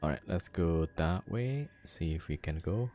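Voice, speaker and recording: Deep-voiced man streaming a game. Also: measured pace, slight background noise. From Indonesia. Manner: relaxed, chatty, answering viewer questions while playing. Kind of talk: casual gameplay chat with viewers. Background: game music.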